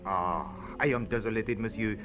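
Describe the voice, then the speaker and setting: An actor playing an excitable, self-important French bar owner, with a French accent and effusive, pompous delivery in old radio style. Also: male voice, on the low side, normal speed, close to an everyday voice. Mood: eager, self-important. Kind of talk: 1940s radio acting.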